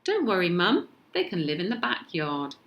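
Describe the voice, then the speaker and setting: A woman's voice: on the low side, moderate pace, dull audio. A woman with a British accent voicing an eager, pleading young boy in a children's storybook. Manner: excitable, pleading.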